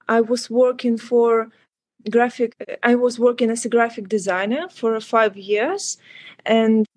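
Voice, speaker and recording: A woman's voice: a little high, a little mellow. A young woman from Ukraine with a Ukrainian accent, speaking careful, earnest English as a guest on an interview podcast. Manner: careful, earnest non-native English with some restarts. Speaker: guest, student.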